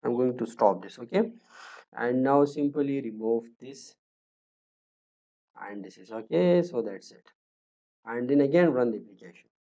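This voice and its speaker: A man, talking through a screen-recorded coding tutorial in a halting way, with many fillers and restarts as he thinks aloud. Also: mid-range voice, mellow. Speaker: programming tutor.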